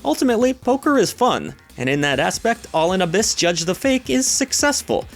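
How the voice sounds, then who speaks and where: A man narrating a scripted video game review in a measured, lightly wry, opinionated way. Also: high voice, sing-song, soft background music.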